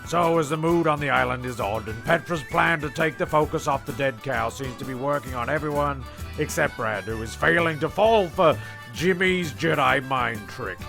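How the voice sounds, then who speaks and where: A man's voice: medium pitch, moderate pace, gravelly, close to an everyday voice. A man playing a testy, rambling, self-important narrator in a comic radio play, stumbling and grumbling as he goes. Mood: rambling storytelling. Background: music.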